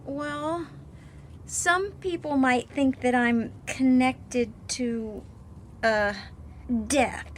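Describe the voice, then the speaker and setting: A woman's voice: high voice, very slow, slight background noise. An actress playing a spoiled, impatient nineteen-year-old college girl in a radio drama, petulant and pushy. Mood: evasive, uneasy.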